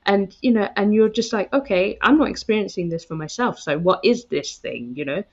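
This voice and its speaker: A woman's voice: medium pitch, animated, fairly quick, darkish tone. Thirties woman podcast host, headset, empathetic, hesitant fillers, slightly muffled sound. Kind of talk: casual interview chat. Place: home room with bookshelves.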